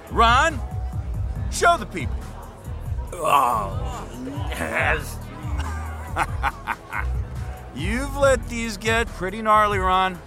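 An actor playing a cocky, boozy college rowing captain, theatrical and taunting in an audio drama. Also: male voice, very high voice, heavily acted. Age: young adult. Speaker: team captain. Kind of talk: scripted audio drama dialogue. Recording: music behind the voice.

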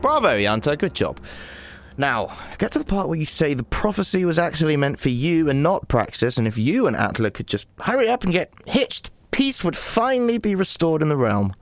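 An actor playing an irritable, protective advisor to a young sorceress, blunt and sarcastic, in a fantasy audio drama. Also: male voice, a little high, big pitch swings, normal speed, no echo. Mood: sardonic, irritated.

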